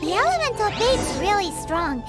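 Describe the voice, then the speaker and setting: A tiny fairy sidekick with an extremely high, childlike squeak. She is cheeky and eager, bouncing up and down in pitch like a lively video game companion. Music plays behind her. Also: normal speed. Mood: eager, scheming. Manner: refers to self in third person, eager.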